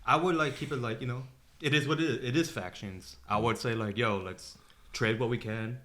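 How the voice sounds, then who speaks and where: A man's voice: medium pitch, brisk, fairly bright tone. A man chatting casually as a podcast co-host, rambling and slangy, with swearing mixed in. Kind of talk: casual group podcast chat. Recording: clear background noise.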